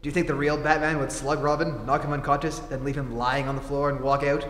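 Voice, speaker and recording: An actor playing an earnest, persistent newspaper reporter in an old-time radio play re-enactment. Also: male voice, mid-range voice, little pitch movement, quick pace, a bit bright, natural voice. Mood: earnest, insistent. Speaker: amateur theatre actor. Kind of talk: stage re-enactment of 1940s radio acting. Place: theatre stage, live performance. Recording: echoey hall, faint background noise.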